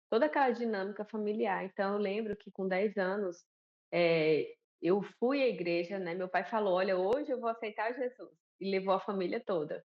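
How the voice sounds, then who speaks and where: A woman's voice: mid-range voice, muffled recording. A woman from Alagoas with a Northeastern Brazilian accent, a guest in a TV interview, talking in a chatty, conversational way with many tag questions. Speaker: guest, missionary and pastor's wife.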